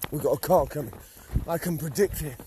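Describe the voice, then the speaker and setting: A man's voice: on the high side, background noise. A man hosting a casual podcast chat on a drive, teasing and laughing with friends. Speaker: podcast host.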